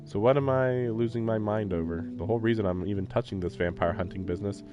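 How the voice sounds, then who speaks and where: Lower-pitched male streamer reading and commenting. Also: quick pace, highs cut off. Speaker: live streamer. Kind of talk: reading a visual novel aloud with casual commentary. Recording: faint game music behind the voice. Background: game soundtrack.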